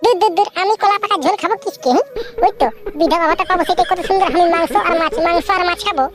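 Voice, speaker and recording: A woman voicing a sassy, nagging village woman in a comic cartoon, with a Purulia Bengali accent and a pitched-up voice. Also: very fast, over music. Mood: sassy, needling. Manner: sharp-tongued, bargaining.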